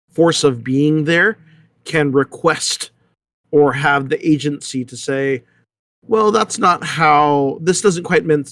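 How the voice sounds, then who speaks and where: A man with a moderately high voice talks through ideas in a relaxed discussion. The sound is dry, and he often tags his points with a short check for agreement. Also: no echo. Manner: conversational, checks in with "right". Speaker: panelist. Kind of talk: casual analytical discussion.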